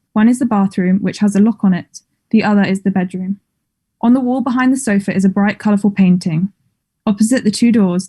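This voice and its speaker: A woman's voice: medium pitch, mellow. A woman reading stage directions as scripted narration at a table read of a play.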